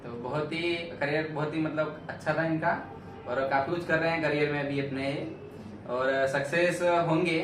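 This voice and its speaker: A man's voice: fairly high pitch, fairly even intonation, normal speed, over music. A man acting as interviewer, affirming the answers and putting the next question to the audience. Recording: echoey room, far from mic, noisy.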